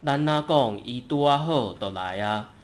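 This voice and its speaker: A man's voice: mid-range voice, expressive, measured pace. A man with a Taiwanese accent narrating a folk tale as a storyteller.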